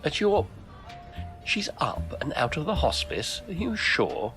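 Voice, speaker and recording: Animated, probing male agency colleague. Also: on the high side, soft background music, heavily acted. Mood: surprised, probing. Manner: brisk, questioning, clipped. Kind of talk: audio drama dialogue.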